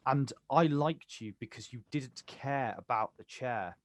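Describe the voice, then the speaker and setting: An actor playing a bitter, protective older brother, confrontational and incredulous, in a naturalistic stage drama. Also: male voice, mid-range voice, measured pace, no echo, natural voice. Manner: confrontational, incredulous, clipped questions.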